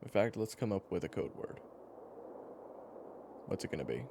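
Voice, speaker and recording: The gravelly man playing the weary protector in an audio roleplay, spinning wry, halting tales to a companion in a shelter full of background noise. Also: deep voice, lively intonation. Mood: wry, coaxing. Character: weary, protective male storyteller survivor, teasing and patient. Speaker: character narrator. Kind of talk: conversational in-character storytelling, audio roleplay. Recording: clearly noisy background ambience.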